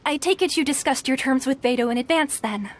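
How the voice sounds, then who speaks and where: A woman's voice: sits high, a little fast, natural voice. An actress voicing a polite female tournament official in a video game with a courteous, businesslike manner.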